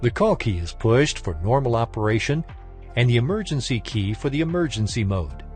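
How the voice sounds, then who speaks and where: A man reading a scripted technical training lesson in a formal, measured, instructional manner. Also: mid-range voice, very animated, darkish tone, soft background music. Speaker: instructor narrator.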